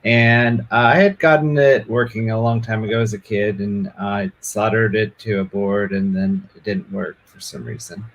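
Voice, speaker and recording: A man in a casual show-and-tell demo, talking in a hesitant, halting way as a guest. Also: fairly low pitch, fairly even intonation, a bit bright. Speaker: guest demonstrating a project.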